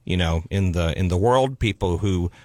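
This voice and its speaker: A man speaking as a throat and voice doctor, giving calm, measured, clinical explanations in an interview. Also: sits low, no room sound, studio quality. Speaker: otolaryngologist guest.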